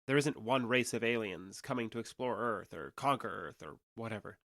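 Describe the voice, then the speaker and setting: A man's voice: lowish voice, animated, normal speed, fairly bright tone, no room sound, studio quality. A man playing a young alien trader who passes as human in an audio drama, talking in a conversational, earnest and slightly hesitant way. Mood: earnest, explaining. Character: awkward, candid young male alien trader passing as human.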